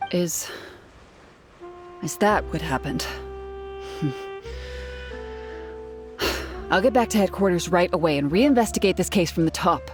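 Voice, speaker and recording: A woman playing a brisk, defensive female investigator in a video game. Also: fairly low pitch, expressive. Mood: doubtful. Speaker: investigator character. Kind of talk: video game story voice acting. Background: faint music.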